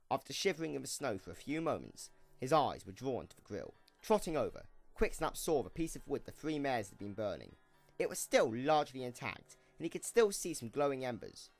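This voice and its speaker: A man's voice: mid-range voice, dry recording. A man narrating a scripted audio drama in a dramatic storytelling style.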